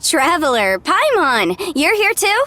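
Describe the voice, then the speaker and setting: High, lively, breezy young woman. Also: slow pace, no echo, heavily acted. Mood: pleasantly surprised. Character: friendly, easygoing, bright young woman. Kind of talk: anime-style video game voice acting.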